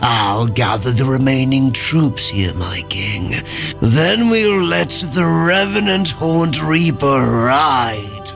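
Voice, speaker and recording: An actor playing a scheming, obsequious servant of a dark king in a fantasy audio drama, with a courtly, measured manner. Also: medium pitch, expressive, vocal fry. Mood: sly approval. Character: fawning, scheming male henchman to a dark king. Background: dramatic music.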